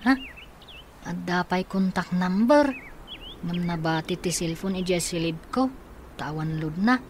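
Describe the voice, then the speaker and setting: A woman's voice: fairly low pitch, lively intonation, measured pace, darkish tone. A woman playing a caring big-brother boy in an Ilocano radio drama, with an Ilocano accent. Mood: earnest, puzzled. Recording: faint background noise.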